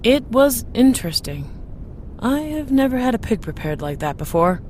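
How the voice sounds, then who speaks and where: A woman's voice: a little high, very animated, moderate pace, darkish tone, a little hiss or hum. A woman playing a loyal, caring starship first officer in a science fiction audio drama. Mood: friendly.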